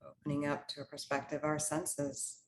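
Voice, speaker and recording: A woman with a low, level voice guides a meditation. She speaks in soothing, short phrases and leaves space between them. Her intonation stays very even throughout. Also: rough recording. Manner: slow, pausing, soothing instructional delivery in short phrases.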